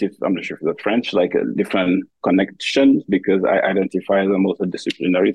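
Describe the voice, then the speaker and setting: Low-voiced Senegalese man, thoughtful guest. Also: a little mellow, slightly rough, dry recording, low-quality recording. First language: Wolof. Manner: thoughtful, searching for words, frequent restarts. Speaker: guest, dance professor. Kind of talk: conversational interview.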